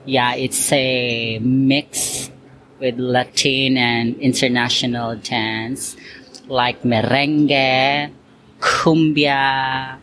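A man from Cebu talks at an unhurried, drawn-out pace, his phrasing loose and chatty with constant small fillers. His voice sits at an ordinary middle pitch for a man. The recording carries a clear layer of background noise under him. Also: level tone, fairly bright tone. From Philippines, Cebu. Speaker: interviewee, accountant and Zumba instructor. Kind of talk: casual interview answers. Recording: noisy background hiss.

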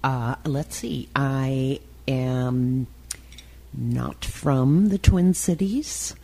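A woman in her sixties with a gravelly creak. She talks slowly and casually as a show guest, thinking aloud as she goes. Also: rumbling low, mellow, slight background noise. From Milwaukee, United States. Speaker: talk show guest, designer and writer. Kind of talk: casual interview chat.

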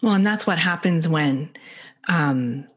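A woman's voice: deep voice, lively intonation, slow pace, husky. A Canadian woman co-hosting a podcast, reflective and searching for words with many fillers. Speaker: podcast co-host. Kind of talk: casual podcast conversation.

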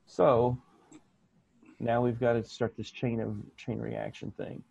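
An American man co-hosting a podcast in casual chat, halting with many restarts and fillers. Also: medium pitch, little pitch movement, creaky, a little mellow, slightly rough, no room sound, lo-fi sound. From United States. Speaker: podcast co-host.

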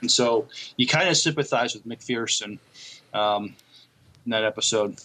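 Man, average pitch, podcast co-host, casual discussion, hesitant, thoughtful. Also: unhurried, bright, forceful tone, rough recording.

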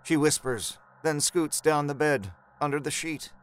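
A man narrating a horror story in a measured, suspenseful, dramatic storytelling style. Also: a little high, natural voice.

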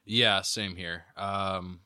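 Young man, very low bright voice. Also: extremely slow, smooth. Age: young adult. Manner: deadpan, unfazed. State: sniffly. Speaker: podcast co-host or guest. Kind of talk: casual chat.

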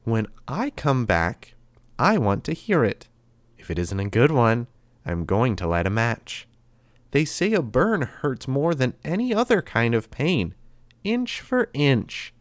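A man voicing a cruel, calm immortal boy who talks softly and slowly with flat, cheerful menace. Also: mid-range voice, no echo, voice pushed up. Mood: coldly menacing. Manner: soft, slow, menacing and flatly cheerful. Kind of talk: audiobook character voice.

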